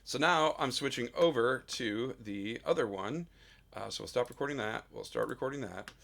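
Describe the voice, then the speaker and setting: A man recording a casual vlog in his home studio, talking into a studio mic with a big, loud voice and rambling with lots of fillers. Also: medium pitch, normal speed, vocal fry. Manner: rambling, self-deprecating, full of fillers. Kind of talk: casual vlog talk between sung takes. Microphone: studio dynamic mic.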